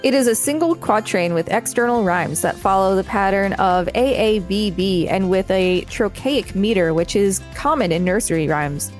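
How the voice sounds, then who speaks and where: A woman's voice: medium pitch, normal speed, hardly any pauses, soft background music. A woman co-hosting a podcast, reading reference text aloud with casual remarks. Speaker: podcast co-host. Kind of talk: reading encyclopedia pages aloud with casual commentary.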